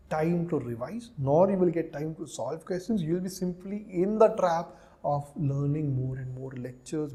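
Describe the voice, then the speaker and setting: A man's voice: a little high, mellow. A man with an Indian accent giving an explanatory lecture as an exam coach, emphatically repeating key phrases and checking in often.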